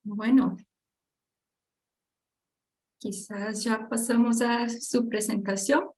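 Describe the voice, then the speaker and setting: A woman's voice: on the high side, fairly even intonation, very slow, a little mellow. Woman host with a lilt. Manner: hesitant, with frequent fillers. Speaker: event host. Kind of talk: introducing speakers at an online panel.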